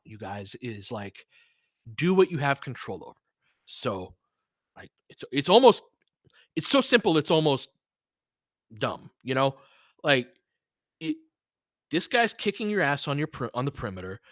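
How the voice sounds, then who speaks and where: Man, mid-pitched, very animated intonation, informal basketball commentary, conversational, opinionated, dry sound. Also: no room sound. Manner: conversational, opinionated, mildly profane. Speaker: sports commentator, host. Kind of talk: informal fan play-by-play and analysis commentary.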